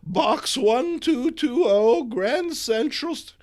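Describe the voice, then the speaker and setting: A man's voice: very high voice, animated, takes it slowly, darkish tone, pitched above the performer's own voice, full character voice. A man voicing a feisty, indignant old woman crusader in a theatrical, emphatic comic book reading. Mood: crusading. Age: elderly.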